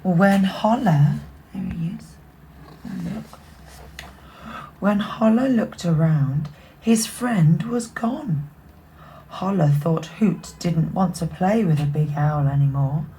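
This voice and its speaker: Unhurried woman reading a bedtime story. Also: lowish voice, a little hiss or hum, rough recording. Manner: expressive, hushed in places. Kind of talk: children's picture book read-aloud with whispered asides.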